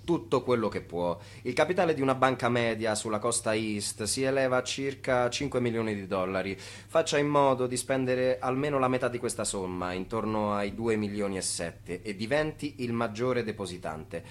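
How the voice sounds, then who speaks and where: An actor playing a wry, didactic narrator in an Italian radio play, addressing the listener directly like an instructor. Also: male voice, mid-range voice, normal speed, hardly any pauses, lightly acted. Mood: wry, instructive. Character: wry, instructive male storyteller of a swindle.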